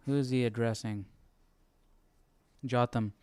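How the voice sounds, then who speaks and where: A man hosting a Bible study, casually thinking aloud as he reads, haltingly asking himself questions. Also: mid-range voice, fairly even intonation, a little mellow, clean tone, no room sound. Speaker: host of a live Bible study.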